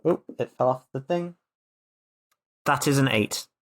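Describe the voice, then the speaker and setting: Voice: medium pitch, measured pace, background noise. A tabletop game player improvising actions in a casual, conversational way.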